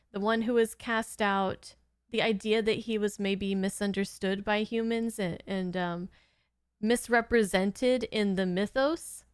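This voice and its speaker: A woman's voice: mid-range voice, clean tone, no echo, studio quality. A woman giving casual reaction commentary in an informal, giggly, rambling way. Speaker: host of a reaction channel.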